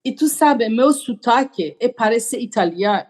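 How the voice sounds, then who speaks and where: A woman's voice: a little high, animated, unhurried, a little mellow. A woman, a podcast guest who tells her story earnestly in simple, broken phrases. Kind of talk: conversational storytelling.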